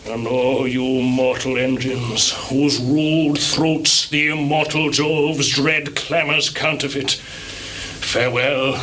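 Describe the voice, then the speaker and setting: A jealous, tormented general, forceful and ringing. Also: male voice, mid-range voice, fairly even intonation. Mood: grieving farewell. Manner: declamatory, impassioned, drawn-out oratory. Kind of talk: live Shakespearean stage tragedy. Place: small theatre stage. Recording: noisy live theatre recording, 1980s.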